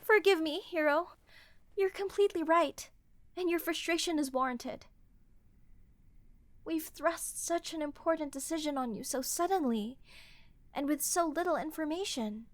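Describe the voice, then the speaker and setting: A woman's voice: sits high, normal speed, pauses often, no echo, close to an everyday voice. A woman playing an earnest, courteous, principled royal ruler in a fantasy audio roleplay, speaking formally and politely. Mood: apologetic.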